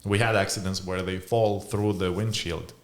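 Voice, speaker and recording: A man's voice: sits low, fairly even intonation, normal speed. A man from Lithuania with a Lithuanian accent, speaking English as a second language, who hosts a casual podcast interview and sometimes searches for words and jokes along. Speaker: podcast host.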